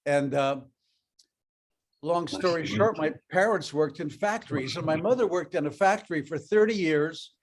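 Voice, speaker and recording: An older man with a slightly higher voice than most tells a personal story to an audience. He grew up speaking Ukrainian and talks in a loose, conversational way with plenty of fillers. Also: moderate pace, slight background noise. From Europe, raised in North America. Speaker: network marketing speaker.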